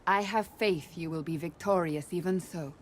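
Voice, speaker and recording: An actress playing a devout, loyal warrior advisor in a fantasy video game, speaking in a grave, formal, firm manner. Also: female voice, fairly low pitch, normal speed, slight background noise, lightly acted.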